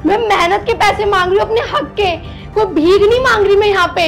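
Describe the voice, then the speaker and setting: Girl acting wronged young delivery woman, really high voice, pleading n indignant, faint music behind. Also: fairly even intonation, quick pace. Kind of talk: melodramatic scripted Hindi skit acting.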